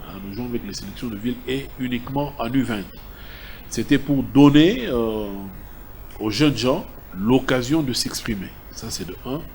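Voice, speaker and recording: A man's voice: mid-range voice, lively intonation, moderate pace, a little mellow. A man with a Congolese accent, a sports official explaining decisions to the press in an emphatic, point-by-point manner. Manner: emphatic, enumerates his points. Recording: clear background ambient noise.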